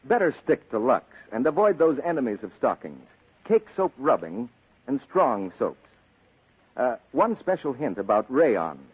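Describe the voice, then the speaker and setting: A man with a husky voice making a 1940s radio advertising pitch as announcer, on a tinny vintage broadcast. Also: sing-song, unhurried.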